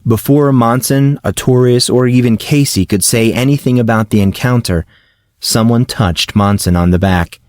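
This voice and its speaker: A man's voice: mid-range voice, normal speed, a little mellow, dry recording, studio quality. A man reading a scripted fantasy audiobook as narrator.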